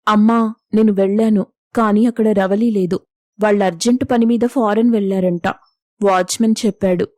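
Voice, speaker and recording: A woman narrator plays a gentle, self-sacrificing young elder sister in a moral story. Her voice is mellow and respectful, soft in manner. The recording is very dry. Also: mid-range voice, fairly even intonation, normal speed, dry recording, close to an everyday voice. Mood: troubled.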